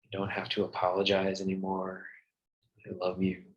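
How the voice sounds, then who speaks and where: A man leading a guided meditation in a soothing, gentle manner with long pauses. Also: deep voice, monotone, lo-fi sound. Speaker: meditation teacher.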